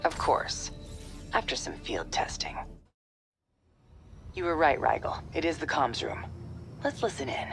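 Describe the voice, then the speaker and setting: A woman's voice: deep voice, sing-song, unhurried, gravelly, pauses often, music in the background, heavily acted. An actress playing a cool, determined female covert ops soldier in a video game cutscene. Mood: focused, wry.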